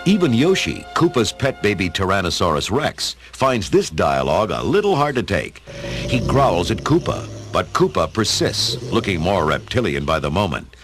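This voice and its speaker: A man's voice: sits low, very animated, normal speed. A man giving dramatic scripted narration as the narrator of a radio play. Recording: music behind the voice.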